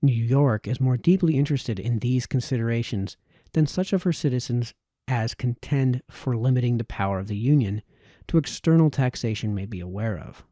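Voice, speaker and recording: A man reads a formal old essay aloud in a very dark, soft-edged voice. His phrasing is measured, with an occasional stumble over a word. The sound is dry and close, with little room around it. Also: mid-range voice, dry recording. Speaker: amateur reader of historical texts. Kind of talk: read-aloud of formal historical essay, audiobook style.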